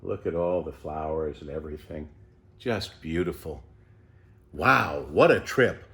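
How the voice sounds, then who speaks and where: A man reading a children's storybook as an unhurried, contented eighty-year-old pet tortoise who savours everything with wonder. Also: very deep voice, vocal fry, fairly bright tone, pitched below the performer's own voice, heavily acted. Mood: serene, savouring. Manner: savouring, leisurely, full of wonder.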